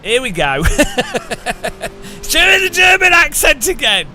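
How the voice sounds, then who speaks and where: A man casually commenting while playing a game, swinging from loud sarcastic bursts to low mutters. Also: wildly swooping pitch, slow pace, faint music behind. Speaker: gaming streamer.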